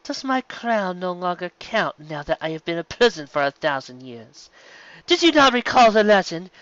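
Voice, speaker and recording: A man voicing a haughty, evil alicorn mare villain with a grandiose, mocking, drawn-out delivery. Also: sits high, very animated, a bit bright, no echo, highs cut off, pitched above the performer's own voice. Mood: mocking, imperious.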